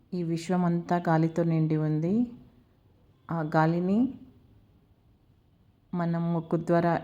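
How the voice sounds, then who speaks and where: A woman leads a guided meditation in a calm, soothing, unhurried manner with long pauses. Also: low voice.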